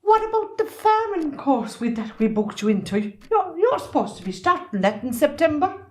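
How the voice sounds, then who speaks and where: Irish farm mother, acted by a woman, middle-aged, prying, highish voice with animated swoops, amateur play. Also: normal speed. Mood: coaxing, worried. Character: middle-aged farmer's wife, questioning mother. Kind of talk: amateur stage drama acting.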